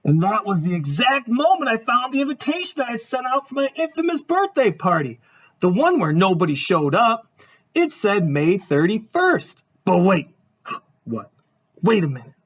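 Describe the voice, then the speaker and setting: A man's voice: sits high, unhurried, breathy edge, full character voice. A man voicing a cheerful reformed grape. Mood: reflective. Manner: earnest, lively storybook narration.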